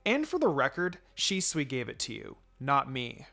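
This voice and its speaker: A man voicing a young female ninja in a story reading, firm and sardonic but secretly soft-hearted. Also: medium pitch, wildly swooping pitch, normal speed, vocal fry, full character voice. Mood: exasperated.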